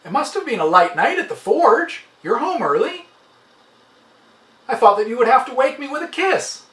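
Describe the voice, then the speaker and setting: Man voicing an animated, grumbling aging wife. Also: very high-pitched, normal speed, pitched above the performer's own voice, full character voice. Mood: affectionate, chatty. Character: aging wife, affectionate, chatty, mildly grumbling. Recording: roomy, slightly distant, faint noise.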